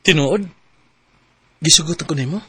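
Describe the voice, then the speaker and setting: A man's voice: fairly high pitch, moderate pace, over music, lightly acted. An actor playing an earnest young man in a radio drama. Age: young adult. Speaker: boyfriend Rob.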